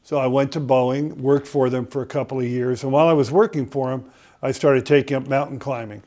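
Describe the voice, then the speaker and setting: Give me an older man as a podcast guest, telling a story in a loose, halting way at a middling pitch. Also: fairly even intonation, darkish tone. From Connecticut, USA. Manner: rambling storyteller with frequent "uh" fillers and restarts.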